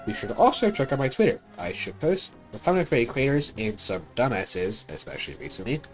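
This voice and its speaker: A man's voice: mid-range voice, very animated, brisk, soft background music. A man hosting a game review video, giving opinionated commentary in a blunt, conversational, sweary way.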